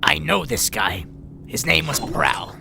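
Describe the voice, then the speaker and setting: A man playing a grumpy, sardonic veteran robot medic in an audio drama, with a gravelly voice and a dry, blunt manner. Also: medium pitch, very animated, takes it slowly, noisy background, exaggerated voice. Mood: deadpan. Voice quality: gravelly, creaky.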